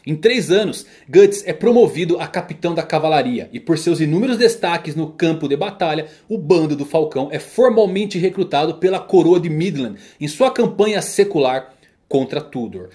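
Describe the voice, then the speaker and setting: A man's voice: on the high side, lively intonation, normal speed, a little mellow. A man with a Brazilian accent reading a scripted, dramatic story narration. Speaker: video narrator. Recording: music behind the voice.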